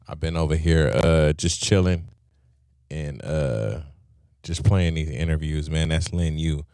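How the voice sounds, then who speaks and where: A man hosting a casual livestream, talking in a relaxed, rambling way with lots of fillers and repeated phrases. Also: a bass voice, unhurried, smooth, no echo, clean studio sound. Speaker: show host. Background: loud hip hop music.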